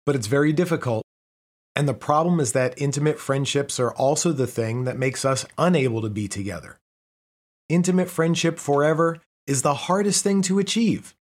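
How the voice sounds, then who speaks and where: A man reading out a scripted article on relationships in a measured, even delivery. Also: on the high side. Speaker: reader.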